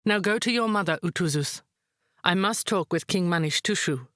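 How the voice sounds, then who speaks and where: A woman playing a calm, wise high priestess who tells myths in a measured, solemn way, as in a visual novel. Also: no room sound, clean studio sound, lightly acted. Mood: calm, firm.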